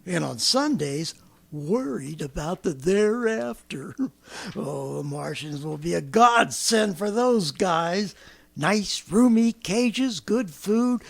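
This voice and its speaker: A man's voice: high-pitched, gravelly, heavily acted. An actor in 1930s radio drama style playing a fanatical survivalist artilleryman with an American accent, ranting colloquially and swinging from brooding to frenzied. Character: fanatical survivalist artilleryman, swept up in grandiose schemes.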